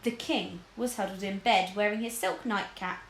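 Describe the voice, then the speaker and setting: A woman reading a children's story aloud as a teacher would, with expressive narration, in an echoey room. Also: mid-range voice, moderate pace, slight background noise.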